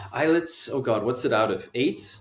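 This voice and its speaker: A man's voice: mid-range voice, expressive, slow pace. A man, a doctor being interviewed, explaining things at length in a casual, conversational way. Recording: echoey room.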